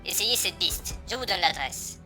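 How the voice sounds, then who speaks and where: An actress playing a mysterious informant who gives orders in a formal, clipped, commanding way in an audio drama. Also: female voice, lowish voice, lively intonation, normal speed, music in the background, natural voice. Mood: cool. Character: mysterious informant calling with instructions.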